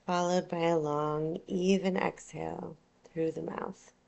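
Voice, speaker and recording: Slow, creaky-voiced woman guiding relaxation. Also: on the low side, steady tone. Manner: soothing, unhurried, gentle instruction. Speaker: yoga nidra teacher. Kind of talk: guided relaxation meditation.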